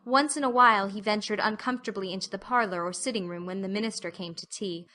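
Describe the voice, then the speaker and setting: A woman's voice: mid-range voice, fast, pushed and bright, a little hiss or hum. A woman reading scripted audiobook narration of a story as its narrator.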